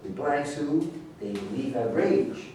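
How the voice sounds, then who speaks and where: Male stage reader, average pitch, distant and heavily reverberant, reading script aloud. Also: lively intonation, slow pace, mellow. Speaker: actor in a staged play reading, also reading stage directions. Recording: echoey room, distant mic, faint noise.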